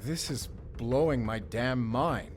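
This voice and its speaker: A man's voice: medium pitch, slow pace, faint music behind, close to an everyday voice. Brash, bewildered actor as a human man. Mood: amazed. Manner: casual, incredulous. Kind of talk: fantasy audio drama acting.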